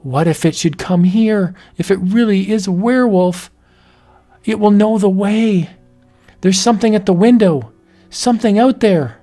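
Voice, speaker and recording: A woman's voice: lowish voice, animated, measured pace, warm tone, faint music behind, pitched above the performer's own voice. A man voicing a frightened, nervous young woman in a read-aloud horror story. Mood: anxious.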